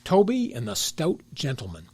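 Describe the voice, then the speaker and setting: A man's voice: fairly high pitch, wildly swooping pitch, moderate pace. A man narrating a children's storybook tale as part of an audio drama.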